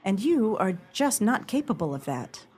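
A woman's voice: medium pitch, very animated, moderate pace, a little mellow, slightly rough. A woman playing a firm, dismissive love interest in an amateur radio play, speaking in a theatrical, emphatic way. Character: firm, dismissive woman rejecting her suitor.

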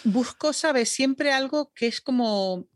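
A woman's voice: sits high, slow pace, no room sound, studio quality. A woman, a radio announcer and podcaster, chatting casually as a guest and laughing at herself. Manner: chatty, self-deprecating, laughing. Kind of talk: casual interview chat.

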